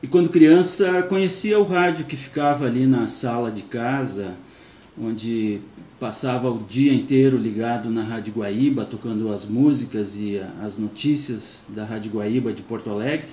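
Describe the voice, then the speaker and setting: A man's voice: medium pitch, moderate pace, warm tone, a little hiss or hum. A man in his fifties with a southern Brazilian accent, telling personal recollections. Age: 50s. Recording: echoey room.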